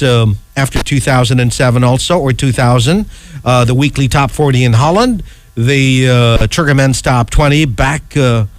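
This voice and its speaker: A middle-aged man hosting a radio chart countdown in a casual, ad-libbed way, rambling and halting, often checking in with an "okay". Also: mid-range voice, no echo. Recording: music playing behind close, dry voice. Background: music.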